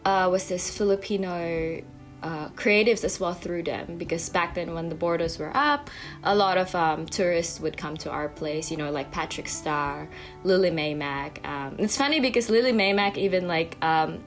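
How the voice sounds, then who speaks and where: A woman's voice: lowish voice, moderate pace, faint music behind. A young woman with a light New Zealand-tinged accent, chatting casually as an interview guest, full of fillers and acted-out quotes. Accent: New Zealand-tinged English. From Japan. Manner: chatty, many fillers and "like", acts out quotes with laughs. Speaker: actress guest.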